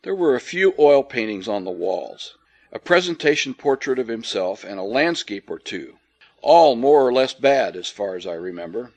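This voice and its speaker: A man reads a classic ghost story as an audiobook narrator. His delivery is measured and formal, with a dryly cynical edge. The voice sits at a middling pitch. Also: a little mellow. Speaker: audiobook reader. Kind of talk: dramatic scripted narration of an old ghost story.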